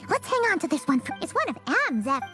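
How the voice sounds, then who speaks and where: A tiny fairy companion from a fantasy game. The voice is extremely high and squeaky, childlike and chirpy, bouncing widely in pitch. It comes across as excitable and nosy, with clear music playing behind it. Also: female voice, fast talker. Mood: curious, suspicious. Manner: exclaiming, speaks of self by name. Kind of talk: scripted video game voice acting.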